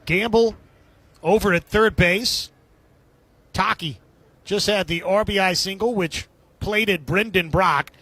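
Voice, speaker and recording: High-pitched, bright male baseball announcer. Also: takes it slowly, long pauses, dry recording. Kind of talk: live radio sports commentary.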